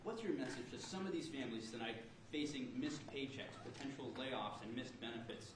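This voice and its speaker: A man's voice: medium pitch, animated, brisk. A man asking a formal press question as a reporter. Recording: very echoey, distant, noisy room.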